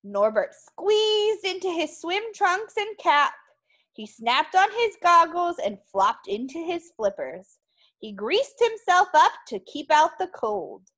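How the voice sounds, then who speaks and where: A woman teacher reading a picture book aloud to young children in an animated, expressive way. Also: very high voice, slow.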